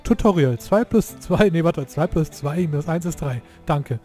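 Hoarse excitable gamer guy. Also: a little high, normal speed, faint music behind. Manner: excitable, swears, exclaims at the game. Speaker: let's play youtuber. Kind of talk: casual gameplay commentary.

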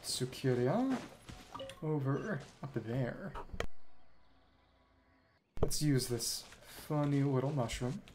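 A woman's voice: a bass voice, expressive, slow pace, long pauses, noisy background. A woman streamer giving live gameplay commentary, musing aloud and reading out game dialogue as she plays. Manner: musing aloud, switching between reading and reacting. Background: game music.

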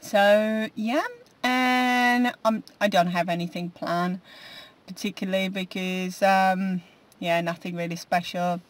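A woman's voice: mid-range voice, fairly even intonation, slow pace. A woman in her forties chatting casually to camera from inside a parked car, rambling with many fillers and restarts. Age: 40s. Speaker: vlogger. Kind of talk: casual food diary chat.

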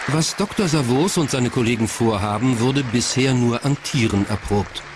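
A man's voice: medium pitch, moderate pace, crowd noise. A man reading scripted documentary narration. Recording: clear background noise.

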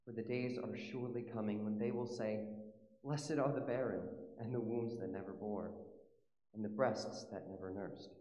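A man reading the part of a solemn, condemned Jesus with gentle authority in a church dramatic scripture reading. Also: lowish voice, steady tone, moderate pace, lightly acted. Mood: solemn warning. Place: large church, mic at a distance. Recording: muffled, echoey church PA, long reverb.

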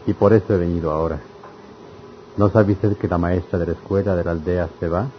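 An actor playing a kindly, concerned village priest in an old-fashioned radio serial, measured and paternal. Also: male voice, deep voice, fairly even intonation, lo-fi sound, a little hiss or hum, natural voice.

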